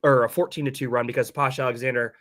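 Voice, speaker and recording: A hoarse-voiced man hosting a sports podcast, breaking down a game casually and candidly with some mild swearing. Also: medium pitch, little pitch movement, quick pace, fairly bright tone.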